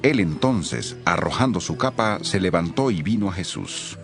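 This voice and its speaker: A male narrator reads scripture in a deep, gravelly voice. His delivery is measured yet very animated, like expressive storytelling, over faint music.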